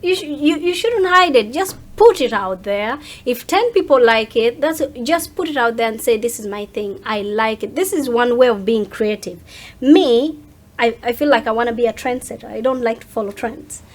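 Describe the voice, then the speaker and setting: A woman in her thirties with a slightly high voice, speaking as a guest in a casual chat. She is emphatic and often repeats a phrase to press it home. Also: animated, normal speed. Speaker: interview guest.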